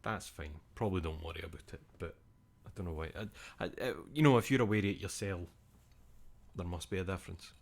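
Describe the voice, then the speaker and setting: Young Scottish male streamer, very low voice, loose rambling chat with casual swearing. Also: a little fast, vocal fry.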